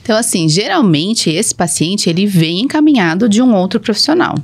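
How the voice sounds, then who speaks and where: A woman with a Brazilian accent and a mid-pitched voice speaks as an expert guest. She explains step by step in plain, conversational language, in a teacherly way. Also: expressive, brisk, slightly rough. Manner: didactic, plain everyday wording. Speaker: guest speech therapist.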